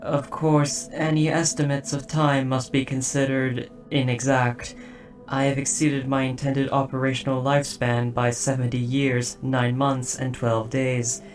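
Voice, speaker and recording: A performer voicing a dutiful robot soldier in an audio drama, speaking in a formal, precise, measured and softly hesitant way. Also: medium pitch, flat delivery, recorded from a distance, faint music behind, natural voice. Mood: calm, formal. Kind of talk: scripted roleplay audio drama.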